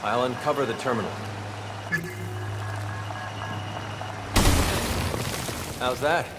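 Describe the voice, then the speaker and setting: An actor playing a calm, wise Jedi hero in a video game, speaking in a measured, earnest, gently probing way. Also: male voice, mid-range voice, heavy background noise, close to an everyday voice. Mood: urgent. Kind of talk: cinematic video game acting. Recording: prominent film-style music underneath.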